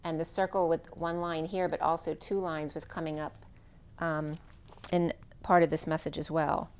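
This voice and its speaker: A woman with a hoarse, fading voice giving an unscripted spiritual tarot reading, meandering and correcting herself as she lists cards and numbers. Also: deep voice, little pitch movement, normal speed, dull audio. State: losing her voice. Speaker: tarot reader.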